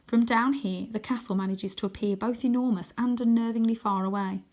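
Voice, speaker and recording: A woman reading a children's adventure story aloud as expressive first-person narration. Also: fairly high pitch, fairly quick. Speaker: storybook reader.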